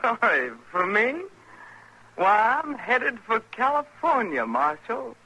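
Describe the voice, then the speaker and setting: A woman's voice: on the high side, big pitch swings, slow pace, pushed and bright, exaggerated voice. A scheming, money-hungry woman of the Old West, bargains slyly in a swooping, dramatic voice. It is an old-time radio western on a noisy vintage recording. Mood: brazen. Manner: sly, bargaining, dramatic delivery. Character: scheming, greedy frontier saloon woman informing for money.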